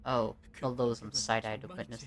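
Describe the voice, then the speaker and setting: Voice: medium pitch, little pitch movement, brisk. An amateur narrator reading a scripted first-person story. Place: indoors at home. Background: music.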